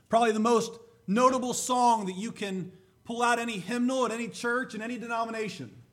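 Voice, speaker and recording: A man preaching a sermon and reading scripture, slightly hoarse, with a deliberate, emphatic delivery. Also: extremely high pitch, a bit bright.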